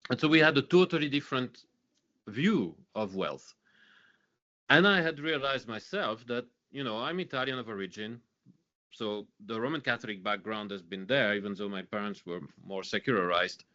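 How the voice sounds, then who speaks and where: A man's voice: on the low side, moderate pace, sharp tone. A man from Belgium, giving an earnest teaching talk with many restarts. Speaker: speaker. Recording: dull lo-fi sound.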